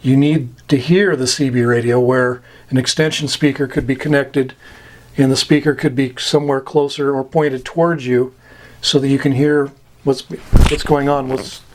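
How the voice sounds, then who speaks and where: An American man giving an informal, plain-spoken explainer of technical terms, pausing often between short phrases. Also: medium pitch, rough recording. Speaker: instructor explaining CB radio terms. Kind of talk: informal explainer, glossary-style lesson.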